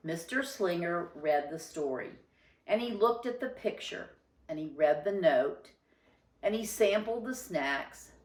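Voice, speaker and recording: Female storybook reader: expressive, unhurried narration, a little low-pitched, with audible room echo.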